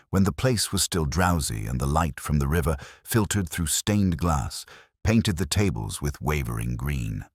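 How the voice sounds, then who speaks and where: A man narrating a literary detective story in a measured, reflective, melancholy manner. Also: rumbling low, no room sound, clean studio sound.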